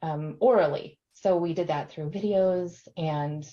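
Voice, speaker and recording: A woman's voice: on the low side, a little slow. A woman who works in extension, speaking steadily in a documentary interview with frequent fillers. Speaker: extension professional. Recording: dull lo-fi recording.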